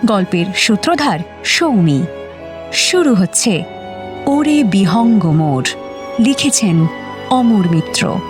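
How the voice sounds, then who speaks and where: A woman's voice: mid-range voice, very animated, slow, pauses often. A woman presenting a radio story in a scripted introduction. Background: background music.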